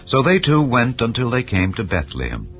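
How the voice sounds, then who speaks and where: A man narrating a dramatized Bible reading in a solemn, measured storytelling manner. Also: medium pitch, wildly swooping pitch. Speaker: scripture narrator. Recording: slightly dull, soft music behind.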